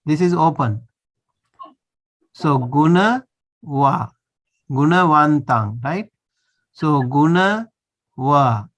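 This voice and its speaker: A man's voice: a little high, animated, drawn-out words, pauses often, a bit bright. A man, a monk, teaching a language class over a video call, patiently checking that students follow. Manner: patient, checks understanding often.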